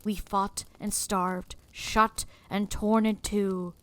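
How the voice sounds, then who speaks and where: A woman's voice: medium pitch, level tone, takes it slowly, natural voice. A woman performing a sombre, regretful teacher who narrates a dramatic first-person story. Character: sombre, regretful teacher haunted by lost students.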